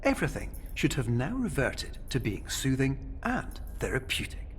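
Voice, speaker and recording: An actor playing a soothing, formal, wordy doctor giving a recorded therapy message in a game. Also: male voice, mid-range voice, huge pitch swings, moderate pace, a little hiss or hum. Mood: polite, soothing. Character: soothing formal male doctor running experimental sleep therapy.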